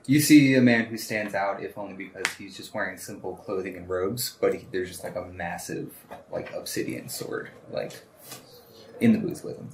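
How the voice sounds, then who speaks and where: A man's voice: low-pitched, moderate pace, distant mic, slight background noise. A man speaking as a player in a tabletop game, describing his character casually. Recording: echoey room.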